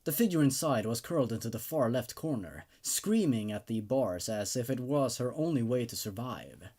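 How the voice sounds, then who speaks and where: A man presents horror stories in an expressive, emphatic style. His voice sits at a medium pitch and moves very freely up and down as he narrates or comments. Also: moderate pace. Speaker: host reading and reviewing horror stories. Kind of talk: dramatic horror story narration with casual commentary.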